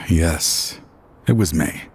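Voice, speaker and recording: An actor playing a weary, remorseful aging former lawman turned desperate villain in a video game, with a husky, world-weary delivery. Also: extremely low pitch, slight background noise, heavily acted. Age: older.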